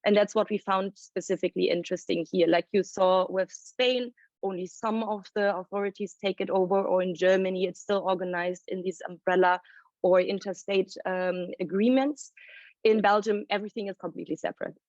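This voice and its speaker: Woman, mid-pitched, researcher presenting, explanatory, pauses to think, dry lo-fi recording. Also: fairly even intonation, darkish tone, no echo. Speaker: report author presenting research. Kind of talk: panel presentation at a report launch. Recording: dry, dull lo-fi sound.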